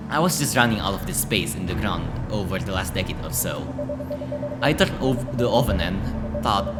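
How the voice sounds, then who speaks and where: A man narrating a visual novel aloud for a gaming video, stumbling now and then over the text. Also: fairly low pitch. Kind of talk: reading a visual novel aloud with casual asides. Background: loud music.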